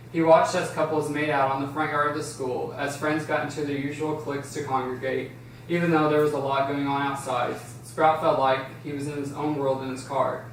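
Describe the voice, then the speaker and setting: A man reading scripted stage directions as the narrator of an amateur table read. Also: fairly high pitch. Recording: roomy, distant, faint noise.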